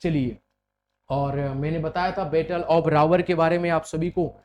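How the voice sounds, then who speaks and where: A man's voice: fairly high pitch, fairly even intonation, a little slow, a little mellow. A man lecturing as an exam coach, repeating points and putting questions to students. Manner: repetitive, explanatory, questioning the students. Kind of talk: classroom lecture for exam preparation.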